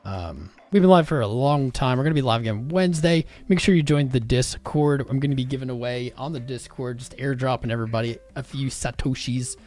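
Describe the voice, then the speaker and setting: Casual male streamer, middle pitch, slangy and rambling, voice worn thin, soft music playing in the background. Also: fairly quick, few pauses. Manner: rambling, slangy, talks straight to chat. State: losing his voice. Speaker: crypto livestream host. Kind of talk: casual livestream chat.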